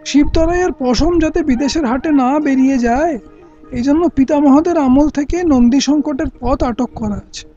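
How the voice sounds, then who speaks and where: Imperious, distrustful king, played by an actor for audio drama; high voice on a dull lo-fi recording with music. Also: normal speed, warm tone, natural voice. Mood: brooding, displeased. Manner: formal, imperious, questioning. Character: stern, suspicious king, guarded about his rebellious heir. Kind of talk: scripted Bengali audio play acting.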